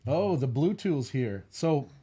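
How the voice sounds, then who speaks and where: A man's voice: medium pitch, big pitch swings, slow pace, mellow, breathy edge. A congested man hosting a casual cigar review stream, chatty and rambling. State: congested from a cold. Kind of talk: casual livestream chat.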